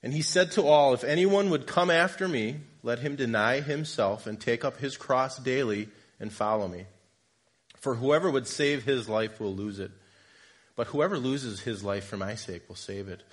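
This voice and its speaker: Male lay preacher, husky and a bit sniffly from a cold, chatty and self-deprecating in the pulpit. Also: mid-range voice, normal speed. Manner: conversational, jokes with congregation, self-deprecating. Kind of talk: informal sermon. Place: church.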